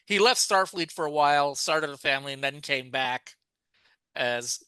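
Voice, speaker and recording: A man with a slightly high, very bright voice co-hosts a casual discussion. His tone is crisp and closely recorded. He often stops midway and rephrases himself. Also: normal speed, no room sound. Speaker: podcast co-host.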